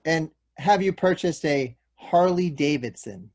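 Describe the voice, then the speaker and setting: A man's voice: fairly high pitch, animated, unhurried, a little mellow. A man playing an earnest, slightly awkward police detective in a comic play read aloud. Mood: formal, questioning. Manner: formal, apologetic. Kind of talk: table-read stage comedy acting.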